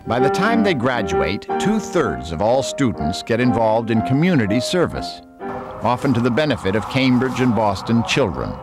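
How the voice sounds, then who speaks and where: A man's voice: medium pitch, very animated, moderate pace, over loud music. An American man reading scripted documentary narration.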